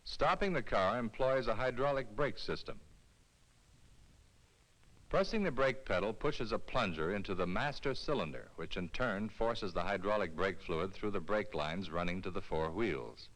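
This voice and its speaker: A man reading a scripted instructional film narration in a clear, measured, explanatory way, as on a 1970s educational film soundtrack. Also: fairly low pitch, lively intonation, a bit bright, poor audio.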